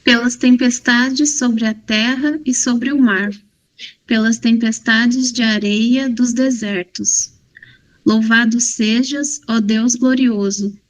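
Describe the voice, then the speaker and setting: A woman reading a long prayer aloud in a steady, measured way. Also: a little high, poor audio. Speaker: prayer reader.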